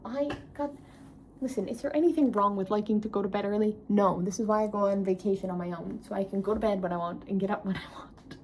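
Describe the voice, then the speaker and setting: A woman's voice: mid-range voice, quick pace, a little mellow, slight background noise. A woman in her twenties chatting casually to camera as a travel vlogger, self-mocking and tired at day's end. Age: 20s. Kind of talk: casual vlog chat to camera.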